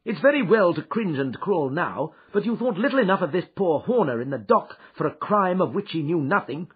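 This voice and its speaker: Commanding sharp detective, brisk and lively, husky tone, voiced by a male reader. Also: high voice, pitched above the performer's own voice, full character voice. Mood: stern. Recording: dull lo-fi recording.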